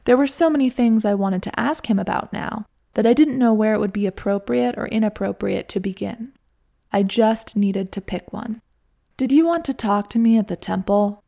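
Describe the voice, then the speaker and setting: A woman's voice: mid-range voice, gravelly, mellow. A woman narrating a personal travel story for her listeners, wry and reflective, with a self-deprecating edge. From United States. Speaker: podcast narrator.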